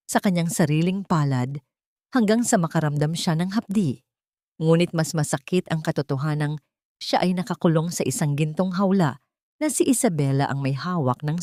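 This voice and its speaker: A woman's voice: deep voice, darkish tone, no echo, clean studio sound. A woman reading a dramatic story as an audiobook narrator in a solemn, melodramatic manner. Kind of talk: dramatic scripted narration of a Tagalog love story.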